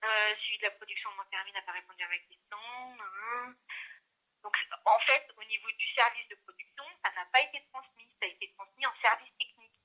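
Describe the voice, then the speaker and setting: Over a telephone line, a woman handles a customer service call in a bright, sharp voice at a middling pitch. She works as a call centre agent and often repeats and rephrases as she explains. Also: brisk. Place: phone call, recorded from the line.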